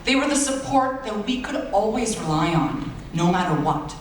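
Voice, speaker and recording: A man's voice: high voice, animated, moderate pace, a little hiss or hum. Teen boy's speech, echoing hall from afar. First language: Bulgarian. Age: late teens. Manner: earnest, self-deprecating, with playful asides. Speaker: valedictorian. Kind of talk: graduation speech. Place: large school auditorium. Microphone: far-off audience camera. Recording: echoey hall recorded from far off.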